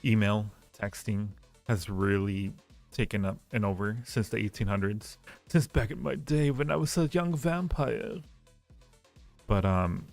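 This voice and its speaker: A man with a fairly low voice and plenty of vocal fry hosts a casual advice stream. He talks in a halting, rambling and reassuring way. Faint music plays underneath. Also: a little mellow. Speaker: livestream host giving advice. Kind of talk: casual chat, answering listener questions.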